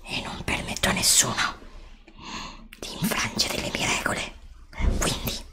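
A woman's voice: unhurried, bright, forceful tone. Woman whispering as a cruel evil witch queen. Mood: menacing, impatient. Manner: menacing, commanding hiss. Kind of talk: whispered Italian ASMR roleplay.

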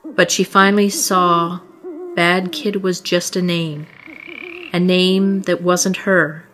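Slow, low-voiced woman narrating. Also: fairly even intonation, a bit bright. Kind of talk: scripted story narration for a short film.

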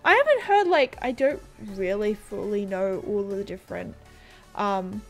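A woman's voice: medium pitch, animated, unhurried, faint music behind. A young woman streaming a horse game, chatting casually from home on a headset and reading viewer messages aloud. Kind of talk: casual livestream chat. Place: home room at a gaming setup.

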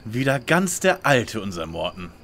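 A man's voice: on the low side, sing-song, normal speed, background noise. A man playing a self-assured young lead detective in a parody audio drama, dry, precise and commanding. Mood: dry, composed.

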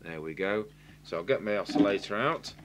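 A man's voice: fairly low pitch, expressive, fairly quick, heavy background noise. A man with an English accent giving an informal hands-on repair demonstration, matter-of-fact and thinking aloud as he works. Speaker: radio repair technician, presenter. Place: indoors at a workbench.

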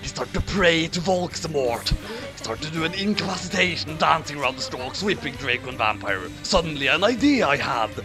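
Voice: medium pitch, big pitch swings, brisk. Story narrator with music behind. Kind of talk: scripted narration of fan fiction.

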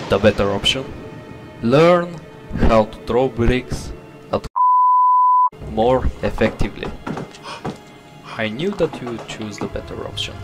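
A man voicing a sleazy mad doctor monster in an amateur horror game dub, rambling with mock-polite menace. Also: fairly low pitch.

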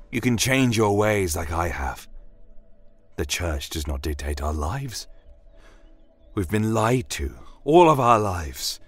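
A man playing a calm, principled inquisitor who reasons earnestly in a fantasy audio story. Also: sits low, slightly rough, no echo, full character voice. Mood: pleading, hopeful. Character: calm, principled male church inquisitor, peacemaker. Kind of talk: fantasy audio drama acting.

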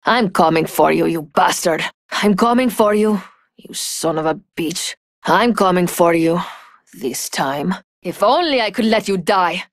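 A woman's voice: fairly low pitch, unhurried, no room sound, studio quality. A woman voicing a sultry, vengeful thief in a video game, cool, cutting and sardonic. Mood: vengeful anger. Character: sultry, scornful, vengeful female thief, adult. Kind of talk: video game character voice lines.

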